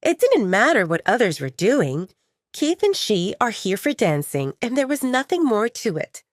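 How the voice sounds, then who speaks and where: Expressive woman narrator, animated pitch. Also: moderate pace, no room sound, clean studio sound. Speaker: audiobook narrator. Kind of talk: dramatic scripted romance narration.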